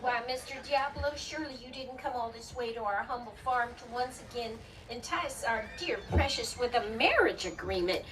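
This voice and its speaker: A woman's voice: a little high, moderate pace, few pauses, close to an everyday voice. A woman acting a protective widowed farm mother in radio melodrama, formal and theatrical. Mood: prim rebuke. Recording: distant stage microphone with room noise.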